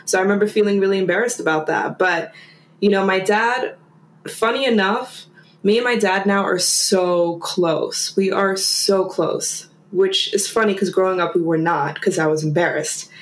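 A woman's voice: mid-range voice, normal speed, roomy sound. A woman from Germany, a guest in a casual conversation, who talks in a chatty, rambling way full of fillers.

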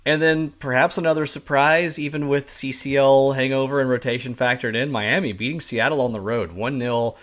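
A man hosting a soccer talk show, leading the rundown and moving from topic to topic with steady segues. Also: medium pitch, normal speed, few pauses. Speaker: soccer podcast host. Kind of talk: sports talk, running the rundown.

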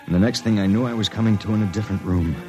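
A man plays a wisecracking detective type in an old radio thriller, with music clearly heard behind him. His voice sits a little low. He is terse and sardonic, both narrating and in dialogue. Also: racing, muffled recording, natural voice. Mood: grim narration. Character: hard-boiled, wisecracking male investigator narrating his ordeal. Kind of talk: old-time radio drama narration and acting.

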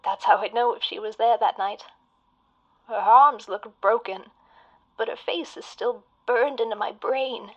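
An actress playing a haunted woman caller on a radio show, speaking in hushed, creepy tones that slip into whispers. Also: on the high side, fairly even intonation, a little slow, dull audio. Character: haunted woman caller, eerie and quietly unsettling. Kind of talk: audio drama acting.

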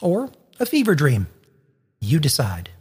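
A host giving a semi-scripted introduction in a husky voice, with dry, deadpan asides. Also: medium pitch, a little slow, in a large hall, very noisy. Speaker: host introducing a charity radio play.

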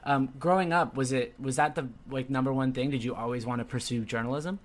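A man's voice: mid-range voice, steady tone, brisk. A young man from Southern California hosting a casual interview, friendly and often hesitant, repeating words as he finds his questions. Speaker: podcast host.

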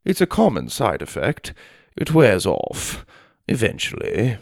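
A man voicing a snobbish, fancy headmaster in a children's storybook, with a haughty, precise, drawn-out delivery. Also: lowish voice, wildly swooping pitch, gravelly, a little mellow, no echo, studio quality, full character voice. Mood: smug, polite. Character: snobbish, fancy male headmaster of a manners school.

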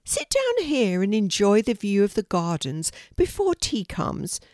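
A woman voicing a gracious, kindly elderly queen with a gentle, genteel manner in a storybook reading. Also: mid-range voice, wildly swooping pitch, a little slow, no room sound, studio quality.

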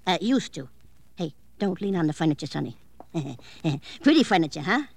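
A woman's voice: on the low side, big pitch swings, normal speed, gravelly, darkish tone, no room sound, heavily acted. A woman playing a cranky, evasive older aunt in a radio drama, fussy and scolding, talking in clipped, colloquial fragments. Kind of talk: old-time radio drama acting.

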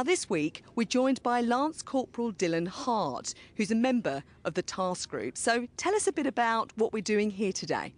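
A presenter introducing a military program and interviewing a soldier outdoors, speaking in a clear, measured way. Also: medium pitch, no echo.

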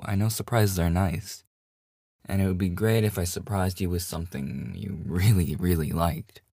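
A man's voice: low-pitched, warm tone, no room sound, clean studio sound. A man playing a devoted, slightly obsessive boyfriend in an intimate ASMR audio roleplay, with a hesitant, stammering manner. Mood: gently earnest. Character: devoted, nervously joking boyfriend hiding obsessive yandere streak. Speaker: voice actor.

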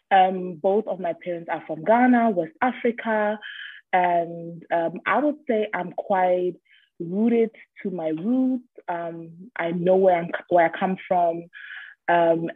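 A woman's voice: on the low side, no room sound. A woman in her twenties from Germany, a podcast guest chatting casually and openly, with frequent ums and easy laughs. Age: 20s. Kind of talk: casual interview chat. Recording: tinny phone-like line.

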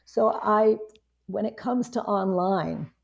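A woman in her fifties with a hoarse voice, a guest chatting casually in an interview, rambling with many restarts. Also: medium pitch, slow pace. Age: 50s. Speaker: guest, online marketing strategist.

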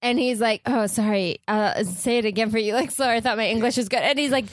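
A woman's voice: a little high, fast, no echo, studio quality. A woman co-hosting a podcast in casual chat, retelling scenes animatedly and acting out the quotes. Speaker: podcast co-host.

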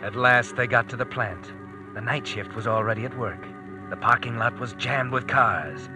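An actor playing a grim, needling thriller narrator in a classic radio drama, clipped and suspenseful. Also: male voice, mid-range voice, normal speed, music in the background, natural voice.

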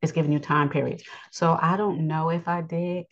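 A woman's voice: deep voice, fairly even intonation, moderate pace. A woman from the United States giving an unscripted Bible lesson, earnest and repetitive with frequent ums. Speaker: Bible teacher. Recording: dull lo-fi audio.

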